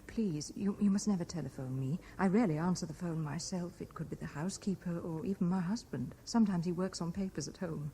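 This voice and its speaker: A woman's voice: on the low side, brisk, few pauses, dark tone, close to an everyday voice, rough recording. An actress with a British accent playing a wistful, dreamy young married Frenchwoman in a 1970s radio drama. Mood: anxious. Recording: vintage radio recording with faint hiss.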